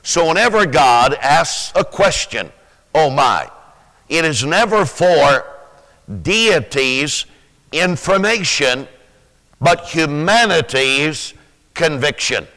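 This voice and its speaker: A man in his sixties with a rough, sleepy-sounding voice, preaching a sermon slowly, with many pauses, then rising with fervent emphasis. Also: a little high, expressive, pushed and bright. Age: 60s. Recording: reverberant hall.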